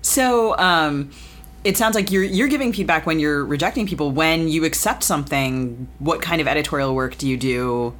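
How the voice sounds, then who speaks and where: A woman's voice: low voice, normal speed, a little hiss or hum. A woman co-hosting a podcast, asking long, hesitant questions full of "um"s and restarts. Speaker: podcast co-host. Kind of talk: interview questions.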